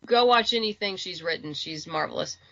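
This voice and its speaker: A woman's voice: on the low side, expressive, brisk, gravelly, a bit bright, no room sound, highs cut off. A woman chatting casually as a guest in an interview. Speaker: interview guest, comics creator. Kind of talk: casual chat.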